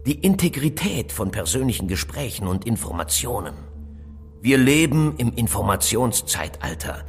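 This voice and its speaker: An actor playing a self-assured, cryptic expert who lectures deliberately and emphatically in a German audio drama. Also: male voice, fairly low pitch. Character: self-assured middle-aged male expert on phone surveillance, cryptic.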